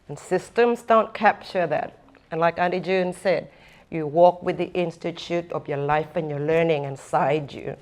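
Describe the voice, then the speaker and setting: A woman giving a slow, earnest, deliberate talk at a conference session, stressing her points by repeating them. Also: sits low, darkish tone. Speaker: First Nations speaker and former government advisor.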